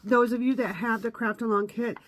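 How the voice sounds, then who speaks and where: A woman's voice: on the high side, fairly even intonation, fairly quick. A woman in her fifties, a little sniffly from allergies, chatting through a live craft tutorial with lots of ums and uhs. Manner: chatty, many ums and uhs, step-by-step instructions. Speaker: craft instructor. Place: home craft room, indoors.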